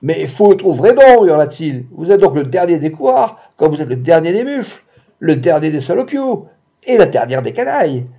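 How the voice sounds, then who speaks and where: A man voicing a furious jealous man who shouts insults, on a dull lo-fi recording. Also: fairly low pitch, sing-song, normal speed, creaky, full character voice. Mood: raging. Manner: shouting, blustering.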